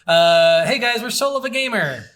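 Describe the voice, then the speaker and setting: A high-voiced man with a bright, forceful sound and animated delivery. He banters casually as a podcast co-host, jokey and freely swearing. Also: moderate pace. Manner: crude, profane, jokey. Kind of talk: casual comedic banter about video games.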